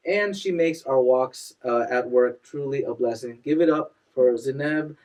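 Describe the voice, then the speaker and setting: A young man hosting a casual interview show, energetic and quick to hype up his guest and laugh. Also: medium pitch, far from the mic. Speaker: podcast host.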